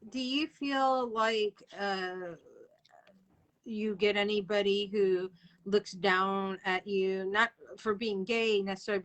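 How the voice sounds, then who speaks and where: Woman, medium pitch, chatty host, informal loosely phrased questions, reactive. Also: bright, forceful tone, low-quality recording. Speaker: host interviewer. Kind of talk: casual chat interview.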